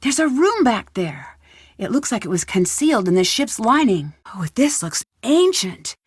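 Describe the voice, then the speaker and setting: A curious, plucky young woman detective from a video game, muses to herself as she works. Her voice sits fairly high and every word is clearly enunciated. The recording is close and dry, with no room sound. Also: sing-song, moderate pace, dry recording. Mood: curious, focused. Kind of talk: video game voice acting, thinking aloud.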